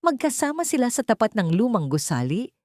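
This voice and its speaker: A woman's voice: a little high, sing-song, fairly quick, darkish tone, no room sound, clean studio sound, voice pushed up. A woman voicing a sly, malicious male driver who spies for his employer, with a furtive, insinuating manner, in an audio story.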